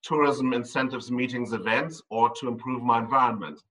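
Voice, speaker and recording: A man's voice: medium pitch, fairly even intonation, brisk, fairly bright tone, far from the mic, poor audio. A man who grew up in Ireland and Germany, a tour company owner giving loose, rambling interview answers full of ums.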